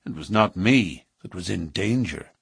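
A man voicing a cool, cocky outlaw in an audiobook story, quick-tongued, defiant and sure of himself. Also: deep voice, expressive, gravelly, husky, no echo, clean studio sound, heavily acted. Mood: cool confidence.

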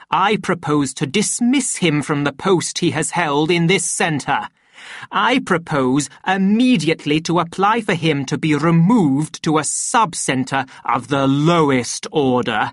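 A woman's voice: sits low, no echo, studio quality. A woman voicing a pompous, stern male director in an audiobook, speaking in a grandiose, oratorical and emphatic manner. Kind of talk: audiobook character acting.

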